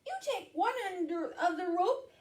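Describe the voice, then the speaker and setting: A woman voicing a small, eager female mouse in a squeaky, earnest storybook voice. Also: lively intonation, measured pace, a little mellow, reverberant, far from the mic. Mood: playful, eager. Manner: exclaiming, earnest about fair play.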